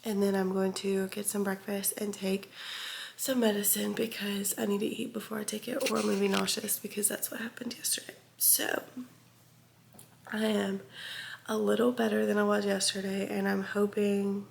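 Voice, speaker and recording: A young woman with a stuffy, congested voice and plenty of vocal fry talks casually to her vlog audience. She sounds under the weather, rambling candidly and hesitantly. A faint noise sits behind her voice. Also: mid-range voice, flat delivery, normal speed. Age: young adult. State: sick with congestion and chest cough. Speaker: vlogger. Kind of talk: casual confessional vlog chat.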